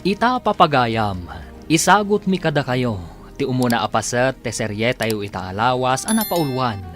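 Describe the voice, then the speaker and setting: A man's voice: medium pitch, big pitch swings, normal speed, fairly bright tone, faint music behind. A man announcing a radio drama in a scripted presenting style.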